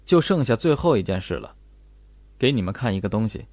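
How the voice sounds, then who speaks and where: A man's voice: on the low side, sing-song, brisk, a little mellow, no echo, voice pushed down. A man voicing a cold, methodical investigator in an audiobook, laying out an accusation. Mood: probing. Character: cold, probing male investigator laying out evidence. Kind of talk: audiobook character acting.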